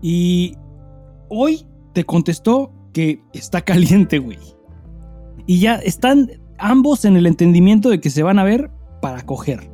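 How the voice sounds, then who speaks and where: A man's voice: sits high, big pitch swings, unhurried, dark tone, faint music behind. A Mexican man co-hosting a comedy podcast, chatting casually with vulgar slang and crude storytelling. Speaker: podcast co-host.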